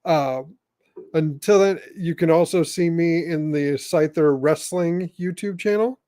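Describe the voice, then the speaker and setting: Slightly high-voiced man hosting a podcast. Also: a little slow, dark tone, no room sound, clean studio sound. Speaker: podcast host. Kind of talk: casual sign-off and chat.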